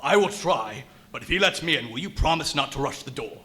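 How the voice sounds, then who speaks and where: A man's voice: on the high side, lively intonation, fairly quick, bright, forceful tone. A man playing a cornered captive at gunpoint in a live radio play, pleading yet defiant in a strained manner. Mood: defiant bargaining.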